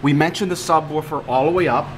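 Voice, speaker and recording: A man's voice: fairly high pitch, fast. Man giving a tutorial, noisy background. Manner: plain-spoken, explanatory, repeats instructions. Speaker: audio equipment installer giving a tutorial. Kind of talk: casual hands-on product walkthrough. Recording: clearly noisy room background.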